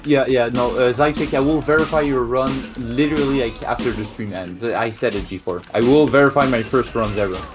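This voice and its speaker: A French-speaking man from Quebec with a Québécois accent, chatting casually about games in a halting way, full of fillers and restarts. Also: medium pitch, over music. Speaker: speedrun verifier. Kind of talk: casual gaming chat.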